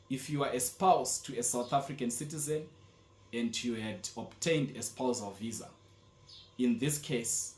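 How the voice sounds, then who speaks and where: A man speaking as an immigration specialist and channel host, giving a formal, deliberate explainer with firm emphasis on key words. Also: mid-range voice, darkish tone. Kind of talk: scripted explainer presentation to viewers. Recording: echoey, roomy sound.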